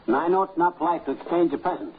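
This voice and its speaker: A man plays a blustery American husband from 1950s radio comedy, wisecracking in a folksy way. His fairly high voice swoops about, on a tinny, hissy old recording. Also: expressive, rapid-fire, lightly acted. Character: blustery, penny-wise, folksy married man.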